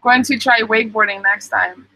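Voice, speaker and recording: A woman's voice: fairly high pitch, fairly even intonation, moderate pace, sharp tone, no room sound, poor audio. A young woman casually reading and answering viewer comments on a live stream, with lots of ums. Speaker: vlogger reading live chat.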